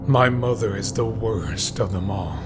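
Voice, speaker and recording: A man reading scripted horror stories in a dramatic, deliberate way, with phrases that often trail into a hush. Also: lowish voice, expressive. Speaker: horror story narrator. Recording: background music behind voice. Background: music.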